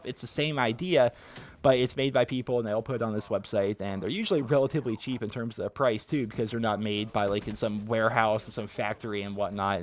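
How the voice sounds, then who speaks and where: A man in his twenties from western Pennsylvania, sick with a raspy voice, chatting casually on a gaming vlog with lots of filler words. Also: medium pitch. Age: 20s. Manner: rambling, heavy filler use. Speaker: gaming YouTuber.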